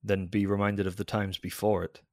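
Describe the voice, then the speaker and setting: An actor playing a brooding, honour-bound military officer and devoted lover in an audio drama, speaking with formal, earnest restraint. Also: male voice, lowish voice, flat delivery, normal speed, no room sound, studio quality, natural voice.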